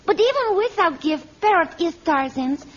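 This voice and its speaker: A woman's voice: extremely high pitch, expressive, moderate pace. A woman playing a naive, adoring young village girl who speaks childlike broken English in an old radio drama. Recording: muffled vintage radio recording.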